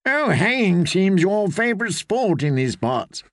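A man narrating puts on a sardonic storybook knight. The voice sits high for a man and swings freely in pitch. The knight jests and mocks as he talks. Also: big pitch swings, unhurried, fairly bright tone, dry recording, clean studio sound, heavily acted. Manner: sardonic, jesting. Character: sardonic, mocking adult male knight.

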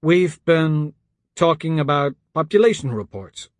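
A man voicing an anxious young man in charge, pleading and pressing out hurried instructions as in an audiobook. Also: fairly high pitch, expressive, no echo, studio quality, pitched above the performer's own voice. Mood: nervous, defensive. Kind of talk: audiobook character dialogue.

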